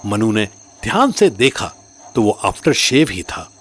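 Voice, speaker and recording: A man's voice: lowish voice, very animated, unhurried. A man narrating a scripted story in a dramatic storytelling style.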